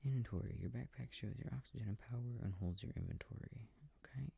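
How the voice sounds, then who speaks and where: A man softly rambling to himself as he plays a video game for a sleep aid, often dropping into whispers, with a breathy, gravelly voice close to the mic. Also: medium pitch, quick pace. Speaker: audio creator playing a video game. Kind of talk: soft rambling gameplay talk for a sleep aid. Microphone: close, dry microphone.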